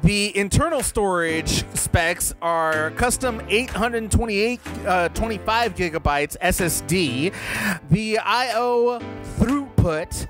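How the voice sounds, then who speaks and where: High-voiced man hosting a chatty podcast over music. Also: animated, slow. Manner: teasing, informal, repeats his phrases. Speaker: podcast host.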